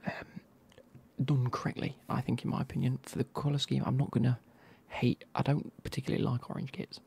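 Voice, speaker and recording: A man, reviewing football kits in a soft, casual whisper with wry asides. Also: mid-range voice, little pitch movement, fairly quick, creaky, slightly rough.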